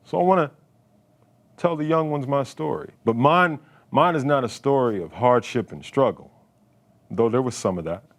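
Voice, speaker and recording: A man in his late thirties from Philadelphia, a poet telling his story in a deliberate, dramatic spoken-word performance. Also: medium pitch. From Philadelphia, United States. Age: late 30s. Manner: dramatic, deliberate, emphatic phrasing.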